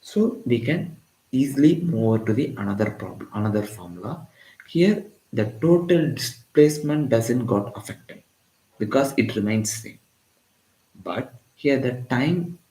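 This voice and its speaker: A man's voice: medium pitch, mellow, reverberant, low-quality recording. A man from Tamil Nadu with an Indian English accent, teaching a live physics lesson and often repeating lines and asking "got it?". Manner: repetitive, keeps checking in with "got it?". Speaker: physics tutor. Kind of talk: live-streamed exam-prep lesson.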